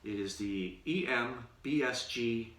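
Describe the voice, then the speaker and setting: A man giving a casual unboxing commentary to camera at home, speaking in deliberate, piecemeal phrases. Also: medium pitch, fairly bright tone. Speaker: vlogger, radio show performer. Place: room in his house. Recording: roomy echo, distant mic, faint noise.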